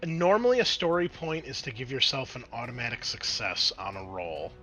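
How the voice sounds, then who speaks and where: Man, game master, bright forceful voice, animated rules talk and narration, faint music behind. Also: mid-range voice, normal speed. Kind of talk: narrating and refereeing a tabletop roleplaying game. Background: background music.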